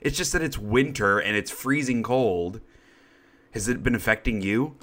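Raspy congested guy hosting a podcast, lowish voice but kinda sharp and bright, chatty and jokey about himself. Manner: chatty, self-deprecating, quick asides. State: congested, needs to cough. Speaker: podcast host. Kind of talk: casual chat.

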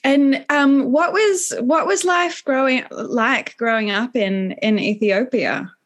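Slow, high-voiced woman. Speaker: podcast co-host. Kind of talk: casual interview chat.

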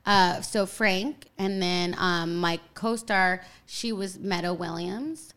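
An actress guest on a podcast who chats casually in a sweet, childlike voice, with plenty of fillers and giggles. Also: female voice, fairly low pitch, steady tone, slow pace, a bit bright, smooth. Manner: giggly, chatty, lots of fillers.

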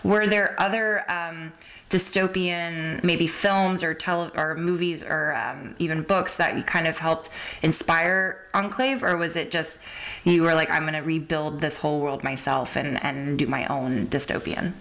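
A woman's voice: low voice, fairly even intonation, a little slow, fairly bright tone. A woman interviewing a guest on a podcast in a casual, chatty way. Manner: chatty, informal.

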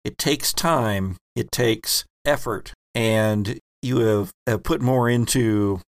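A man's voice: mid-range voice, takes it slowly, dry recording, studio quality. A man hosting a tabletop game as game master, explaining rules and narrating scenes conversationally with joking asides.

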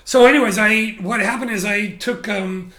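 A man with a notably high, bright voice tells his career story in a loose, animated interview. He is candid and swears casually, often restarting his sentences. The room adds a clear echo around him. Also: moderate pace. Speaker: interview guest, photographer.

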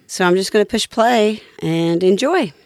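A woman's voice: medium pitch, moderate pace, darkish tone, no echo, clean studio sound. A woman hosting a podcast in a casual, chatty style. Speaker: podcast host. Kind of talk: casual chat.

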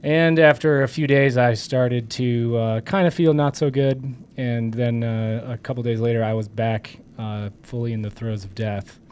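Hoarse man joking on a podcast. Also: medium pitch, fairly even intonation, moderate pace, few pauses, a little mellow. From Michigan. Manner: joking, rambling with many fillers. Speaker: podcast co-host. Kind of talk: casual political podcast chat.

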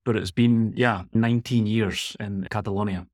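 A man's voice: on the low side, fairly even intonation, normal speed, no room sound, clean studio sound. A Scottish man from Glasgow, a guest expert in a conversational interview, speaking thoughtfully and often restarting his phrases. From Scotland.

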